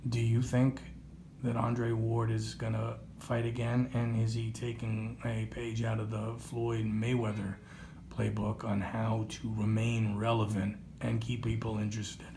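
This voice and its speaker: Male boxing interviewer, creaky mid-range voice, wordy and halting, recorded in an echoey room. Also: on the low side. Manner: wordy, halting, rhetorical questions. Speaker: boxing talk show host. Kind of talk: conversational sports interview. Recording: roomy echo, faint background noise.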